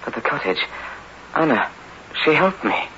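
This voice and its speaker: An actor playing a haunted, insistent wounded convict, halting and earnest, in a 1960s radio drama. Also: male voice, fairly high pitch, big pitch swings, sharp tone, full character voice. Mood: pleading, insistent. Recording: dull, hissy old radio recording.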